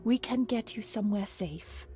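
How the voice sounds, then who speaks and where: A woman's voice: medium pitch, moderate pace, mellow, soft background music, natural voice. An actress playing a practical, kindly young woman in a video game, coaxing and plainspoken. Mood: gentle. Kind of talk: dramatic video game acting.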